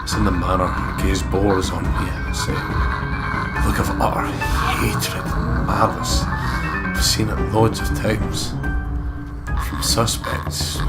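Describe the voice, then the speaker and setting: A man reading a horror visual novel aloud in a dramatic first-person voice, with loud game music behind him. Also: deep voice. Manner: hushed, deliberate reading that turns loud and excitable in chat.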